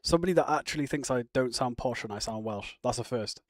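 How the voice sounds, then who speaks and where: A man's voice: medium pitch, quick pace, dry recording, studio quality. A man chatting casually on a live stream, rambling and answering viewer comments. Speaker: live streamer reacting to chat. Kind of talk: casual chat.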